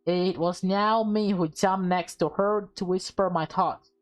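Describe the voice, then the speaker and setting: A woman's voice: fairly low pitch, measured pace. A woman reading a visual novel aloud with playful, dramatic delivery and casual asides. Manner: expressive, playful, acts out dialogue. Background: music.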